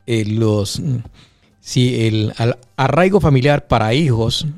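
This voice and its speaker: A man's voice: medium pitch, slow pace, a little mellow. Polite male radio host. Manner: polite, asks clarifying questions. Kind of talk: interviewing a guest.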